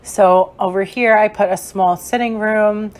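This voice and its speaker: A young woman with a hoarse, fading voice, giving a chatty, rambling gameplay tour voice-over with many fillers. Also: mid-range voice, steady tone, a little slow. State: hoarse, losing her voice. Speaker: gaming creator.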